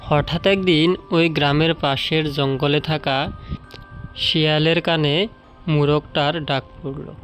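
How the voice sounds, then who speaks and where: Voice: mid-range voice, fairly even intonation, slow pace, a bit bright. A narrator telling a scripted children's story as a storyteller. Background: background music.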